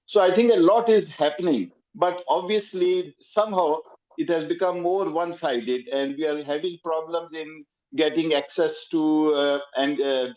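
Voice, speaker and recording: Guy on a muffled call, kinda high voice, lots of uhs and ums while he talks. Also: a little mellow. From Pakistan. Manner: hesitant, many fillers. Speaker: playwright. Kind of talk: remarks at a panel, joining remotely.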